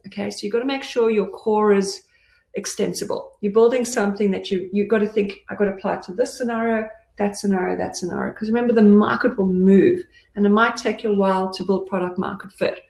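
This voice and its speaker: A middle-aged woman from Zambia gives a conference talk as a tech CEO, candid and emphatic, dropping in plenty of ums and asides. Her voice carries a gravelly, creaky edge. The sound is roomy and echoey. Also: medium pitch, fairly even intonation, a little fast, a little mellow.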